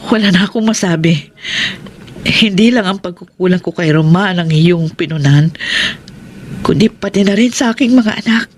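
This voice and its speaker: A woman's voice: lowish voice, normal speed, slight background noise, close to an everyday voice. A woman with a Filipino accent playing a humble, apologetic middle-aged mother in a radio drama. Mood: grateful, remorseful. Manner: apologetic, meek, hesitant. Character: humble, remorseful mother seeking her children's forgiveness.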